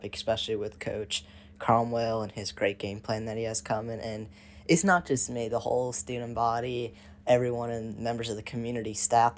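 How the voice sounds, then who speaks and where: A teenage boy presents a school sports report with scripted enthusiasm. His voice sits on the low side, with a faint background hum. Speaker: student reporter. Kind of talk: reporter segment.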